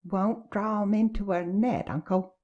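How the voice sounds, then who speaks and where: A woman's voice: medium pitch, steady tone, measured pace, lo-fi sound, close to an everyday voice. An actress playing a glum, blunt older working-class mother in an audio stage satire, with colloquial phrasing. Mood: hushed, pacifying.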